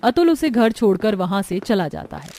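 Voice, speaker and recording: A woman storyteller reading scripted narration for an animated tale. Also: mid-range voice, normal speed, slight background noise.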